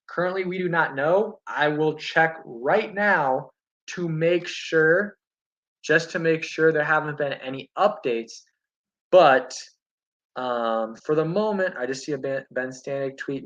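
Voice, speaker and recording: Young male streamer, casual and rambling, fair bit of filler, slightly higher voice, muffled home setup. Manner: relaxed, rambling, many fillers and restarts. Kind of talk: casual live-stream chat reading comments. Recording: dull lo-fi stream audio.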